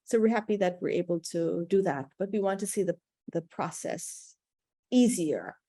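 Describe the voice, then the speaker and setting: A woman answers questions on a video call in a fairly low, measured voice. She is careful and diplomatic and pauses often. The sound is dry and close, with no room echo. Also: lively intonation, dark tone. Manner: measured and diplomatic, with frequent hesitations. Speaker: cocoa estate representative and third-generation cocoa farmer. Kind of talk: webinar Q&A answer.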